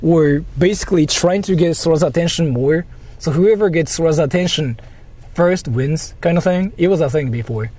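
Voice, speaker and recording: A man's voice: fairly high pitch, normal speed. A man chatting casually on a livestream, rambling hesitantly and repeating himself. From Japan. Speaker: live streamer. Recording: loud car and street noise.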